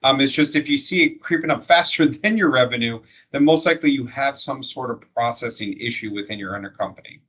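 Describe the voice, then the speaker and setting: A man's voice: medium pitch, fairly quick, few pauses, fairly bright tone, slightly rough. A man speaking as an industry expert, explaining things conversationally in plain, step-by-step terms.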